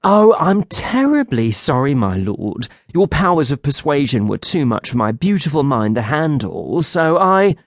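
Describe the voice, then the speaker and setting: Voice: mid-range voice, sing-song, measured pace, hardly any pauses, dry recording, studio quality, pitched above the performer's own voice. A reader voicing a vain, sarcastic fairy. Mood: mocking. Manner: witty, mocking, theatrical. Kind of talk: audiobook character voice.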